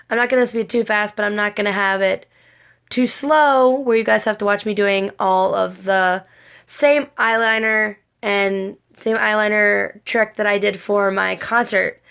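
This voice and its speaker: A woman with a slightly raspy, scratchy voice, hoarse from a cold, giving a casual makeup tutorial in a rambling, repetitive way and often correcting herself. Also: medium pitch, steady tone, moderate pace, fairly bright tone. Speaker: cosplay makeup vlogger.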